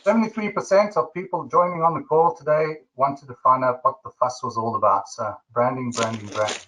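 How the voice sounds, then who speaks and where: A man's voice: medium pitch, fairly quick, sharp tone, rough recording. A man with a South African accent hosting a webinar, chatty and jokey with lots of fillers. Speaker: webinar host.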